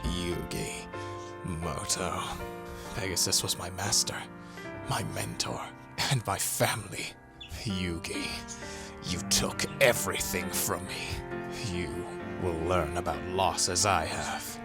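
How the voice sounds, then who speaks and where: A man's voice: low-pitched, fairly even intonation, takes it slowly, vocal fry, long pauses, heavily acted. A man voicing a vengeful, menacing young card-duel villain in a dramatic, brooding manga style. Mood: cold, vengeful. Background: background music.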